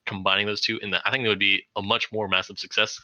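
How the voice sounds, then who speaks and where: A man's voice: on the low side, flat delivery, fast talker, bright, forceful tone, dry recording, dull audio. A man of about twenty co-hosting a podcast and casually discussing films. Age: around 20. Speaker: podcast co-host.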